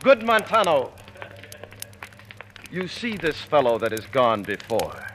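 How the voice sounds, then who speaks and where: An actor playing a scheming soldier who feigns hearty honesty, with insinuating, theatrical Shakespearean delivery in old-time radio style. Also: male voice, mid-range voice, huge pitch swings, takes it slowly, full character voice. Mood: sly concern. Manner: theatrical, insinuating, shifting from hushed to urgent. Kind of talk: 1940s radio acting of Shakespeare. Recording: noisy vintage radio recording.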